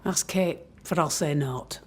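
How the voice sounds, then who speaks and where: A woman's voice: deep voice, a little slow, a little hiss or hum. An actress playing a stubborn, tight-lipped colonial woman in a video game cutscene, defiant and withholding.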